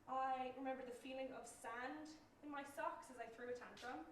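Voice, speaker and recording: A woman's voice: high voice, fairly even intonation, normal speed, slight background noise. A young Irish woman performing a reflective, reminiscing monologue on a stage, picked up from a distance. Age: young adult. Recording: very echoey, from far off, faint music.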